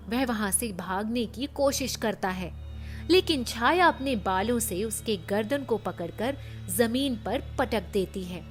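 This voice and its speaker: A woman storyteller giving a dramatic, measured scripted narration of a horror story. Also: mid-range voice. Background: background music.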